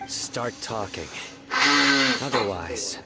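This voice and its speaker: A woman voicing a stern, coldly calm enforcer general in a video game, terse and threatening. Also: rumbling low, slow, over loud music, full character voice. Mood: calm threat.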